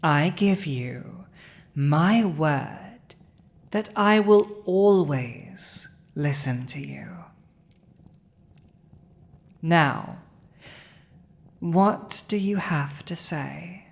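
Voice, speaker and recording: A woman acts out a villainous dragon who rules a conquered throne. The voice sits on the low side and moves very slowly, each phrase deliberate. It is commanding and possessive, with a teasing edge, as intimate fantasy audio roleplay. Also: lively intonation, creaky, heavily acted. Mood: firm, intimate. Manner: slow, deliberate, dominant, teasing.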